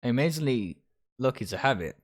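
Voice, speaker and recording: A man's voice: medium pitch, lively intonation, fast. A man livestreaming a visual novel, reading its text aloud and stumbling over phrases now and then. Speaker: video game streamer.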